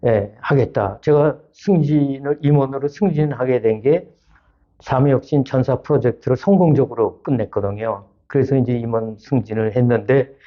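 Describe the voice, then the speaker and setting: Male interviewee, seventies, mid-pitched, conversational and measured with small pauses, slightly lo-fi sound. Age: 70s. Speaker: retired auto-parts executive, channel creator, interviewee. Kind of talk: conversational personal account in an interview.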